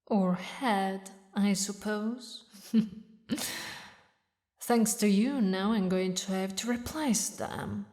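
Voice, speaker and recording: Woman performing a demon queen, haughty, theatrical, mocking, unhurried delivery, dramatic pauses, audio roleplay. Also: mid-range voice, darkish tone, natural voice. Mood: amused, taunting. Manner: slow, taunting, regal, with dramatic pauses. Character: haughty, mocking demon queen mourning her lost lover. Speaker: villainous ruler addressing a knight.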